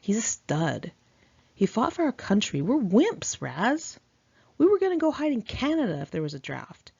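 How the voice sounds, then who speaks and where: A woman voicing a snobby, excitable young glam rocker man with a nasal Valley Girl drawl in a memoir reading. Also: fairly high pitch, normal speed, vocal fry, slightly rough, dull audio, voice pushed up, full character voice. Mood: awed, disgusted.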